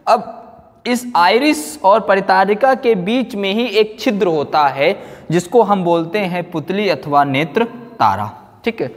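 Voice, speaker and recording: A man teacher lectures in a rather high voice, with long room reverb around it. He repeats phrases as if dictating notes. He often poses a question and answers it himself. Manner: repeats phrases slowly for note-taking, asks and answers own questions. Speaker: physics teacher.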